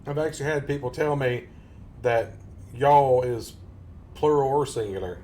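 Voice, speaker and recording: A man's voice: medium pitch, moderate pace, dark tone, slight background noise. A man with a Tennessee Southern accent, chatting casually and jokingly with viewers during a game live stream. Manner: joking, rambling, chuckles often.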